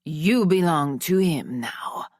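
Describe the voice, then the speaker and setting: A woman voicing a cold, cruel middle-aged father who speaks slowly and snarls his threats. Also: low voice, lively intonation, a little mellow, no room sound, clean studio sound, full character voice. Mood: menacing. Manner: cold, snarling, slow and menacing.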